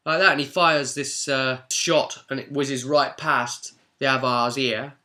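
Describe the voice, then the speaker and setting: A man with a British accent hosting a casual spoken recap of a tabletop role-play session as game master, telling it like a chatty, animated storyteller. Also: medium pitch, a little slow.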